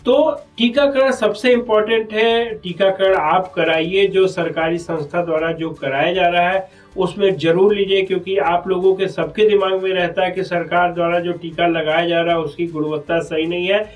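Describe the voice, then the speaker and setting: A man's voice: sits high, fairly even intonation, normal speed, distant mic. A man with a North Indian accent speaking as a doctor being interviewed, explaining health matters earnestly and persuasively, often repeating phrases.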